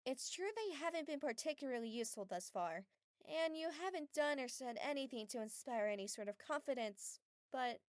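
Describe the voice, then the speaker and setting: Anime dub heroine: virtuous, frank young woman voiced by an actress, earnest and gentle, slightly high pitched. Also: animated, brisk, dry recording, studio quality. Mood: sincere. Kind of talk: anime-style fan dub acting.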